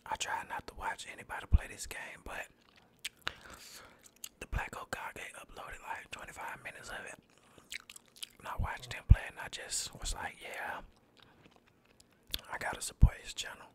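A tired man rambling in a soft, mostly unvoiced whisper over a game, close to the mic, trash-talking enemies as he plays. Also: normal speed, pauses often. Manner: rambling, laid-back, trash-talks the game. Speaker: gaming channel host. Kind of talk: whispered gameplay commentary.

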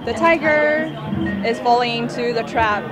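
Higher-voiced woman over music and crowd. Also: unhurried. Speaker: student presenting her project. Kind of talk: exhibition demo explanation. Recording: noisy crowded exhibition recording.

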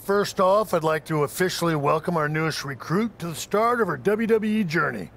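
A matter-of-fact head trainer gets straight to business. His voice is gravelly and slightly high, with faint background hiss. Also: lively intonation, brisk, a bit bright. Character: brisk male head trainer at a training center.

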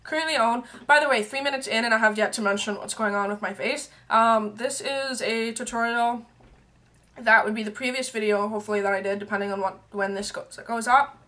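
A woman's voice: fairly high pitch, a little fast, pushed and bright. A young woman from Canada vlogging casually to her camera from a small room, rambling with lots of fillers and asides while her voice wears out. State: voice worn and fading. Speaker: beauty and tea vlogger. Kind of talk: casual chatty vlog. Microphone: webcam at arm's length.

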